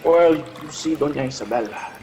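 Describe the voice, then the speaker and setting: An actor playing a cautious, diplomatic Spanish priest who speaks in a measured, formal way in a radio drama. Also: male voice, on the high side, dark tone, dull audio, close to an everyday voice. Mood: admonishing. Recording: long hall reverb, music underneath.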